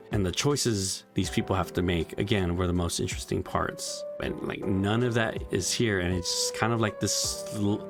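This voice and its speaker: A young man giving a casual spoken game review, frustrated and profane, with many "like" fillers. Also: fairly low pitch.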